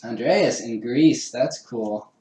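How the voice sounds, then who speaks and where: A man's voice: mid-range voice, slow pace, warm tone, reverberant, low-quality recording. A man chatting casually with live-stream viewers while assembling a kit, laughing often and poking fun at himself. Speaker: livestreamer building a 3D printer kit. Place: home room with a garage downstairs.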